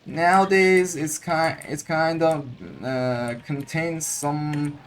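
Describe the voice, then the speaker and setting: A man giving casual game commentary, halting as he searches for words, with game music behind him. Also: on the high side, fairly even intonation, slight background noise. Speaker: gaming streamer.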